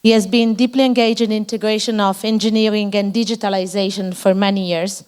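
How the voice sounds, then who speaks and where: A woman moderating a conference panel, thanking speakers and introducing the next one in a warm, encouraging way. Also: medium pitch, steady tone, fairly quick, smooth. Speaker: session moderator.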